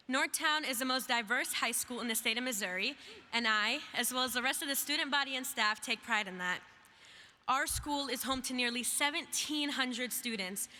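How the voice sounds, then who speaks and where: A young woman who grew up in Iraq and speaks Arabic natively, giving a measured, emphatic speech as a student speaker at a graduation. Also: fairly high pitch, sharp tone. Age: young adult. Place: large hall. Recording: reverberant hall with faint noise.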